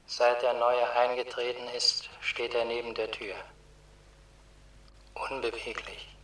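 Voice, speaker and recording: Echoing, slow, stern underworld gatekeeper. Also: male voice, mid-range voice, completely flat delivery, fairly bright tone, recorded from a distance. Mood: solemn. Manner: slow, measured, imperious. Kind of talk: solemn radio drama declamation. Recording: echoing room, set back from mic.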